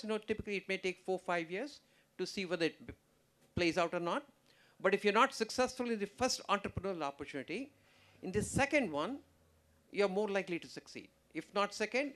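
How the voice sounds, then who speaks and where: Man, panel speaker, emphatic, very lively pitch. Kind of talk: panel discussion answer. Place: conference stage.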